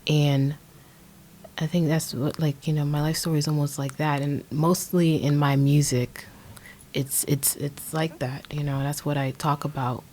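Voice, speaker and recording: A woman's voice: deep voice, little pitch movement, a little slow, a little mellow, slight background noise. An American woman in her twenties talking casually on a podcast, hesitant and searching for her words. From Indianapolis, USA. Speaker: podcast guest, young music artist. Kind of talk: casual conversational podcast introduction.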